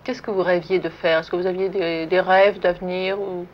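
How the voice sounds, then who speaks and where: A woman's voice: fairly low pitch, little pitch movement, moderate pace. A woman interviewer asking questions in a polite, formal yet conversational style. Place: furnished sitting-room set indoors. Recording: muffled, noisy old archival broadcast.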